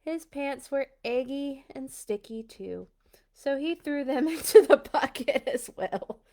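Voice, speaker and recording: A woman reading a children's storybook aloud, dramatic and expressive, with little personal asides. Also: high voice, slow pace. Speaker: storytime reader.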